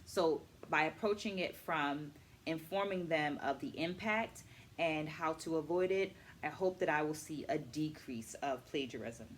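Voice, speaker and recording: A middle-aged woman speaks in a slightly low-pitched voice. She explains things like an educator on a book vlog, choosing her words deliberately. Key points are set out with emphatic, instructional enunciation. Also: a little hiss or hum. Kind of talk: book review vlog, explanatory talk to camera.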